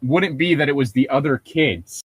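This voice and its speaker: A man's voice: medium pitch, moderate pace, husky. A man co-hosting with casual comedic commentary, joking and swearing freely. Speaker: co-host.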